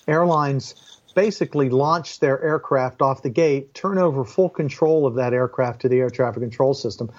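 An older man speaks as an interview guest with a pilot's background. He lays out his explanations firmly and with clear opinions, at a middling pitch. The sound is somewhat dull and lo-fi. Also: normal speed, a little mellow. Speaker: interview guest, former airline and Air Force pilot.

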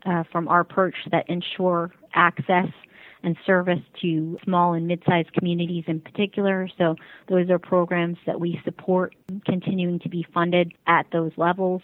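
A woman with a slightly low voice answers interview questions as a spokesperson. She is careful and diplomatic, and the sound is thin and phone-like, with no room echo. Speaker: interview guest, advocacy group spokesperson. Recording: tinny phone line.

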